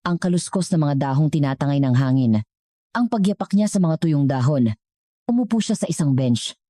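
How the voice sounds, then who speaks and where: A woman's voice: sits low, animated, normal speed, warm tone, no room sound, clean studio sound. A woman with a Filipino accent reading a scripted dramatic story as its narrator.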